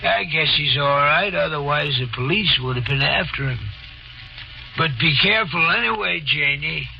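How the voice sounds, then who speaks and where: Brief: older worried carnival worker, raspy, slow and folksy, lo-fi broadcast. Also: male voice, fairly high pitch, faint music behind. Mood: warning. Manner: folksy, slow, says ain't. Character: older male carousel worker, gruff and worried. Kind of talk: 1940s radio acting. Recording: lo-fi 1940s radio broadcast.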